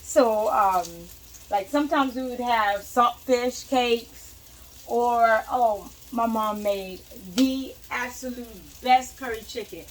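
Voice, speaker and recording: A young woman with a somewhat high voice chats easily while she cooks, drifting closer to and farther from the mic as she works. A light sizzle of frying food sits under her voice in a home kitchen. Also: takes it slowly, slight background noise, poor audio. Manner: chatty, talks while cooking and moving around the stove. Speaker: cooking vlogger. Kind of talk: casual cooking chat.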